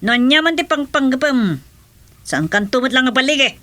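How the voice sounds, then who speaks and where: A feisty, scolding Ilocano woman in a radio drama. Her voice is high and bright, with lively swings in pitch. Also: moderate pace, exaggerated voice. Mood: irritated. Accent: Ilocano Filipino.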